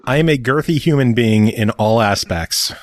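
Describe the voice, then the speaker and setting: A man's voice: mid-range voice, normal speed. A man hosting a podcast in casual chat, teasing his co-hosts with crude jokes. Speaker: podcast host.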